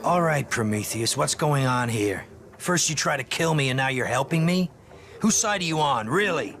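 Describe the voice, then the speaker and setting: A man's voice: medium pitch, animated, moderate pace, music in the background, lightly acted. An actor playing a wary, defiant male agent in a video game, speaking in a blunt, challenging way. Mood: suspicious. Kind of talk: video game cutscene acting.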